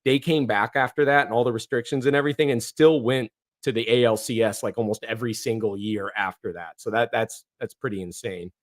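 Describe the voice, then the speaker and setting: A hoarse man from northeastern Ohio hosting a casual livestream, reading viewer comments in a rambling way with many fillers and restarts. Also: mid-range voice, a little fast. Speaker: livestream host.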